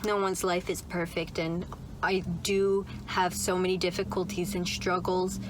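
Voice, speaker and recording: A woman's voice: on the low side, flat delivery, a little slow, noisy background. A young woman vlogging from inside a car, chatting in a loose, upbeat way with lots of "like" and "right?". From Canada. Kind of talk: casual chat to camera.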